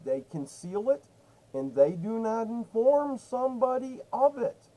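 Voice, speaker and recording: A man's voice: very high voice, measured pace, warm tone, poor audio. A man making an insistent phone complaint, laying out accusations in an emphatic, repetitive way and cutting in. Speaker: caller to a state senator's office.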